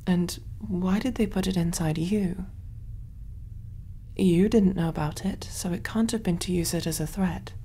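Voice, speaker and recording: A kind alien surgeon voiced by a woman speaks in a dark, mellow, fairly low voice, with some background noise behind her. She is soft and breathy, often slipping toward a whisper. Her manner is motherly and soothing, as if thinking aloud while she tends a patient in an ASMR sci-fi roleplay. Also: natural voice. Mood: concerned, puzzled. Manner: gentle, reassuring, musing aloud while working.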